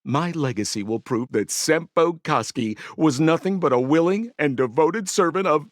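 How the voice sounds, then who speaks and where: A man playing a smooth-talking, boastful young swindler guide in a video game, with a theatrical, wheedling salesman's manner. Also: on the high side, lively intonation, moderate pace, dry recording, clean studio sound, lightly acted. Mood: defensive, boastful.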